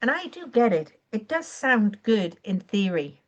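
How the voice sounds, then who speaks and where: A woman coaching on a live stream, her voice croaky and her throat often clearing, talking in an earnest, encouraging way and now and then correcting herself. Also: mid-range voice, very animated, unhurried, lo-fi sound. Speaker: online business coach. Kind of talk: live-streamed training talk.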